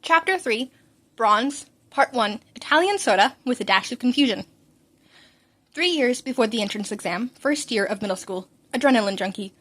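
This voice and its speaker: Woman, somewhat high voice, scripted story narration, wry storytelling manner. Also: lively intonation, normal speed, a bit bright. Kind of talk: scripted fan fiction narration.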